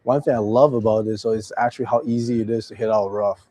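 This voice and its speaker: A hoarse man with a raspy voice, hosting a casual live golf chat with easygoing talk full of fillers and restarts. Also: lowish voice, steady tone, fast talker, dark tone. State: hoarse from days of talking. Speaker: golf club host.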